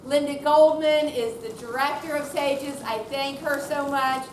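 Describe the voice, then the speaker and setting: A woman giving an informal, chatty thank-you speech to an audience as a program organizer. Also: sits high. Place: room at a senior center. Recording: roomy, distant mic, noisy.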